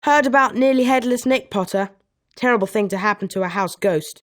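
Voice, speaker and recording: A woman's voice: mid-range voice, fairly quick, lightly acted. A woman voicing a pointed, nosy young British schoolboy wizard in scripted video game lines.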